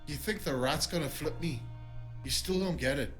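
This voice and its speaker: Man acting a high-voiced, cornered crooked cop. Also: moderate pace, a bit bright, close to an everyday voice. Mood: bitter resignation. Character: cornered corrupt cop, doomed, defiant and desperate. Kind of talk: noir audio drama acting. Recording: faint music behind the voice.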